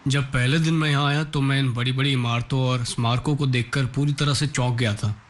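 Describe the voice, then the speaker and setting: A man's voice: medium pitch, steady tone, fairly quick. A man, a military cadet, recounting his own experience in an interview style. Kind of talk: interview-style personal account. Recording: loud music behind the voice.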